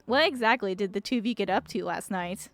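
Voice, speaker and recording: A woman's voice: mid-range voice, brisk, a bit bright, no room sound, natural voice. A woman voicing a stern, stressed young woman in a visual novel, scolding and humorless. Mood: disapproving. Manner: stern, exasperated.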